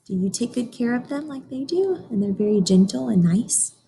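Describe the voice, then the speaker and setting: A woman teacher reading a picture book aloud to young children, expressive, often pausing to question her listeners directly. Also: on the high side, gravelly, slight background noise. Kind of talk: informational read-aloud lesson for young children.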